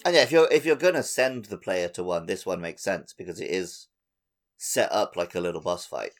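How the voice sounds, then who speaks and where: A man's voice: low voice, animated, moderate pace, faint music behind. A man co-hosting a video game podcast, chatting casually in an informal, laid-back manner. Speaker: podcast co-host.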